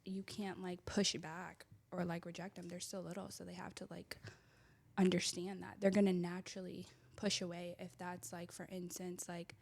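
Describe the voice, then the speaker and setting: Soft-spoken young woman, low voice. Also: little pitch movement, moderate pace, vocal fry. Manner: hesitant, lots of fillers, trails off quietly. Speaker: podcast co-host. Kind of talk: casual chat. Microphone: close studio mic.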